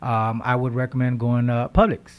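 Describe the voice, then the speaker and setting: Guy hosting a radio show, mid-pitch voice, animated, keeps saying right?. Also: moderate pace, clean tone. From Haitian background. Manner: animated, repeats words, asks "right?" often. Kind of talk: casual health talk on radio.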